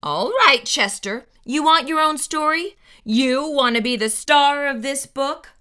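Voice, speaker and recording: A middle-aged woman hosting children's storytime, reading a picture book aloud in a theatrical, deliberate, emphatic way. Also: sits high, lively intonation, sharp tone. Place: small indoor room with bookshelves.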